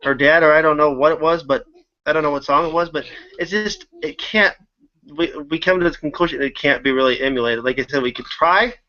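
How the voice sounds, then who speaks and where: A man in a casual group discussion, making his point earnestly and coming back to it again and again. His voice is bright and tense and sits a bit high. The audio sounds dull and muffled, like a video call. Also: fairly quick.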